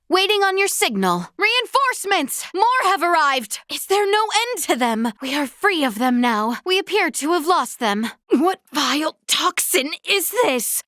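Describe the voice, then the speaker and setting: A woman's voice: high-pitched, a bit bright, no echo, studio quality, full character voice. A woman playing a noble young swordswoman in a fantasy video game, earnest and resolute, speaking in formal, archaic phrasing. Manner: formal, archaic, solemn diction. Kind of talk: video game battle voice acting.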